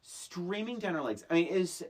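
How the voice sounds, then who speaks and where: A podcast co-host chatting casually about movies in a blunt, animated way, swearing freely. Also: mid-range voice, normal speed.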